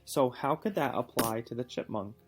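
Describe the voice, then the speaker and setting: A man reads a children's story aloud in a medium-pitched voice over faint music. He is patient and encouraging, moving between the narration and simple questions for his listener. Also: animated, normal speed. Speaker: reading teacher or parent reading aloud.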